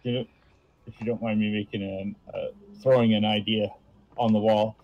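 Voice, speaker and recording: A man's voice: fairly low pitch, level tone, normal speed, dull audio. A man co-hosting a live stream in easy, casual conversation. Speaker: stream co-host. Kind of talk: casual chat.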